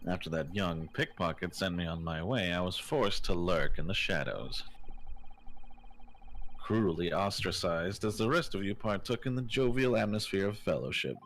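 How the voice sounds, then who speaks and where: A man voicing a melodramatic, self-pitying gentleman detective, eloquent and pompous, in a fan dub of a video game. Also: low-pitched, normal speed, a little hiss or hum. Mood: mournful, theatrical. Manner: florid, theatrical, grandiloquent.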